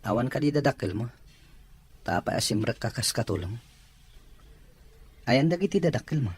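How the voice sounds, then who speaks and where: An actor plays a rich young Filipino man in an audio drama, an employer with a very husky voice. He is blunt in his questions but sympathetic underneath. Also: mid-range voice, expressive, normal speed. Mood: inquisitive.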